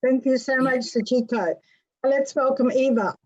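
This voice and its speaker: A woman hosts a panel and courteously introduces each guest. Her voice is fairly high. The recording sounds somewhat dull and lo-fi. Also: normal speed, a little mellow. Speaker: panel host. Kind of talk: moderating a panel. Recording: dull lo-fi audio.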